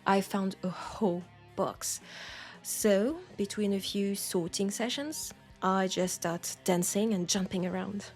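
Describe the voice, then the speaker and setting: A woman with a French accent acting a nostalgic, playful old school friend in an intimate, casual audio roleplay, with an unhurried, teasing manner. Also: medium pitch, steady tone, soft background music, natural voice. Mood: casual, enthusiastic. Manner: unhurried, teasing, breaking into soft laughs.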